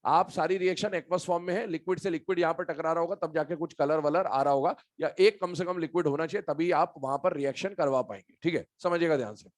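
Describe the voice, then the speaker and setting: A man's voice: a little high, fast, few pauses. A man giving an informal lecture as a chemistry teacher, explaining step by step and addressing students familiarly. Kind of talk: informal classroom-style lecture.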